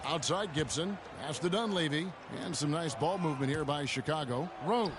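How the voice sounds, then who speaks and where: A man's voice: on the high side, moderate pace, background noise, lightly acted. A man playing a TV basketball play-by-play announcer in a video game, with a clipped, fluent broadcast delivery. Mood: focused. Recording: game stream with loud music and court sounds. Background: loud music, bouncing basketball.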